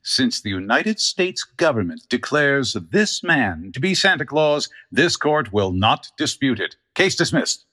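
A man's voice: medium pitch, big pitch swings, unhurried, few pauses, sharp tone, exaggerated voice. A man playing a flustered, authoritative courtroom judge in a holiday radio play. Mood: resigned authority.

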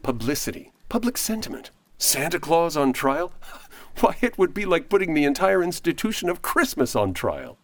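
A man's voice: on the high side, sing-song, moderate pace, a bit bright, dry recording. A man playing an earnest, persuasive, idealistic lawyer in a radio play. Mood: excited. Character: earnest, idealistic male lawyer defending a friend.

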